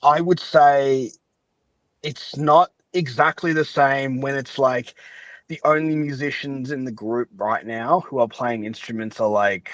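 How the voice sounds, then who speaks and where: A man's voice: mid-range voice, measured pace, a bit bright, no room sound, poor audio. A man chatting casually as a podcast guest, giving his opinions in a rambling way and often qualifying what he says. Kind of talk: casual chat.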